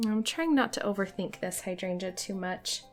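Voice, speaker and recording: A young woman with a hoarse voice from a cold, chatting casually as she teaches a watercolor painting tutorial. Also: mid-range voice, animated, normal speed, soft background music. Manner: friendly, chatty, light laughs.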